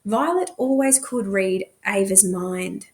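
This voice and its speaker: A woman's voice: mid-range voice, takes it slowly, a little mellow. A woman narrating a novel expressively, as a teacher reading aloud to students.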